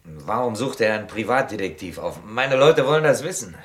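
Surly, low-voiced gangster henchman. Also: animated, a little fast, fairly bright tone. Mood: sullen, defiant. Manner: gruff, sullen, menacing. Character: small, tough, surly male gangster henchman making threats. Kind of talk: German radio crime drama acting.

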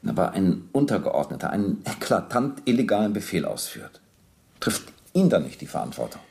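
An actor playing a sober, persistent police captain in a docudrama radio play, measured, precise and matter-of-fact as he questions and reads from documents. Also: low voice, sing-song, vocal fry, a little mellow, exaggerated voice. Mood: probing.

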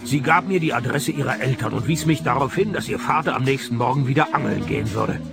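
Actor as wry, gravelly private detective. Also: male voice, mid-range voice, quick pace, over loud music, close to an everyday voice. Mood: dry narrating. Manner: dry, deadpan, sarcastic. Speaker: lead actor and narrator. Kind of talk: radio play detective narration and dialogue.

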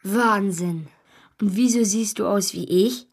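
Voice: mid-range voice, slow pace, husky. A young performer playing a bewildered, polite and curious schoolboy in a children's radio play, hesitant and natural.

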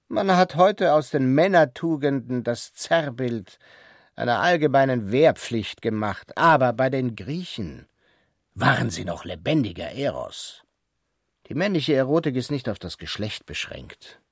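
A zealous, self-important male prophet for radio drama. He declaims slowly and swings widely in pitch, stressing his pronouncements heavily. The sound is dry and close. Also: sing-song, no room sound. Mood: fervent, preaching. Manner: declamatory, emphatic, slow pronouncements. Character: pompous male prophet and writer, zealous, dismissive of women. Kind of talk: literary radio drama acting.

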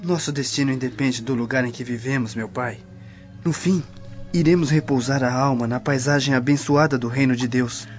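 A man's voice: mid-range voice, steady tone, normal speed, natural voice. An actor playing a devout, idealistic young freedman, an earnest and respectful son, in a radio drama. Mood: fervent. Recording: muffled lo-fi audio with music behind.

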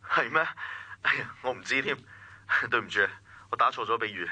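An actor playing a caring, gently persuasive male confidant in a radio drama, with a Hong Kong Cantonese accent. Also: medium pitch, moderate pace, slightly rough, no echo. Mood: light, apologetic.

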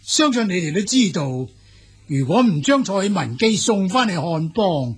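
A man with a Cantonese accent playing a smooth-talking, boastful military envoy in an audio drama, speaking formally and emphatically with a husky, gravelly voice. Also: sits high, big pitch swings, soft background music, heavily acted. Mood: polite, calculating. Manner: formal, emphatic, deliberate praise and veiled warnings.

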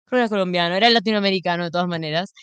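Comedian guest, woman from Italy and Peru, low voice, rambling and laughing, dry studio sound. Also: very fast, a bit bright, clean tone, no echo. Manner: rambling, laughs often, many fillers. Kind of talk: casual chat. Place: radio studio.

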